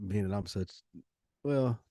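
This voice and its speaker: A man's voice: on the low side, a little slow, mellow, no echo, clean studio sound. A man co-hosting a casual podcast conversation, talking in an emphatic, probing way with plenty of slang. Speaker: podcast co-host.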